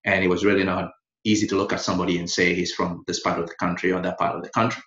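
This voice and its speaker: A man from Ghana, a lawyer and guest on a podcast, telling his story in a relaxed way and laughing now and then. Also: deep voice, rapid-fire. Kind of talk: interview storytelling. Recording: echoey, dull lo-fi sound.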